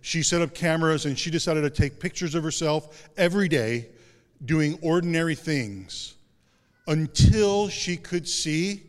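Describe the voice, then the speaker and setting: A male pastor giving a sermon in a conversational, self-deprecating way with casual asides. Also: unhurried. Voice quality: deep voice. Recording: long hall reverb.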